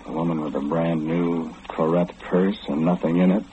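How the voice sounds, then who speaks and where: An actor as a deep-voiced, ominous all-knowing man. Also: steady tone, moderate pace, natural voice. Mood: ominous, confiding. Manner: insistent, confiding, rhetorical questioning. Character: omniscient, ominous, frightened man warning the listener. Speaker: radio play lead actor. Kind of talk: old-time radio horror monologue, intimate address to the listener. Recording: muffled, hissy old radio recording.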